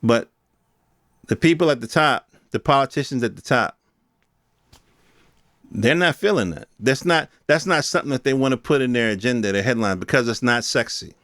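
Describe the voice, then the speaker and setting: Clear-voiced man on a casual rant. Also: medium pitch, lively intonation, normal speed, pauses often, a bit bright, no echo, studio quality. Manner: informal street slang with swearing; rhetorical questions addressed to listeners. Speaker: podcast host. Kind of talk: casual unscripted opinion rant.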